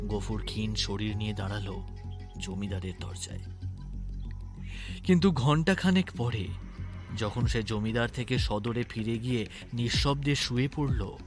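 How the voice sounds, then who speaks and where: A man narrating a scripted story in a dramatic style. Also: mid-range voice.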